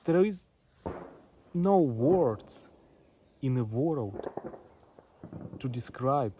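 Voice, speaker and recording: A man with a Ukrainian accent giving a deliberate spoken address to a poetry audience in short, separated phrases. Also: medium pitch, expressive, warm tone, a little hiss or hum. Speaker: poet and front-line witness sending a message.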